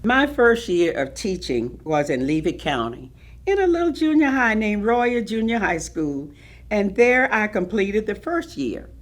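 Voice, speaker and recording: Woman as expressive, dignified retired schoolteacher grandmother. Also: mid-range voice, unhurried, lightly acted. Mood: dignified, proud. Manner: precise, orderly recounting. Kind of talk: dramatic staged reading of oral history.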